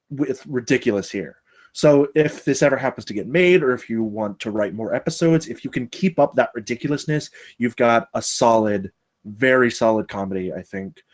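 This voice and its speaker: A man with a medium-pitched voice gives informal critique. He talks in a chatty, rambling way and often breaks off to restart his thought. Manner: rambling, chatty, self-interrupting. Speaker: table read participant giving script feedback. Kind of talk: casual spoken critique.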